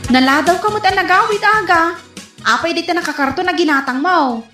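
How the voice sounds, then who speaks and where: An actress playing a scolding, questioning housewife in an Ilocano radio comedy drama, with a nagging manner and Ilocano accent. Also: female voice, very high-pitched, a little fast. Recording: echoey, with music behind.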